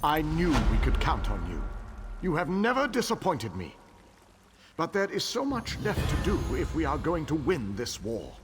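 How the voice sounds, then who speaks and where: An actor playing a noble, solemn king who rallies his allies in measured, formal speech in a fantasy game. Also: fairly high pitch, wildly swooping pitch, music in the background. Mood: gracious, resolute. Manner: stately, measured, formal oratory. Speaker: war leader king. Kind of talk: dramatic fantasy game dialogue. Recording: long hall reverb.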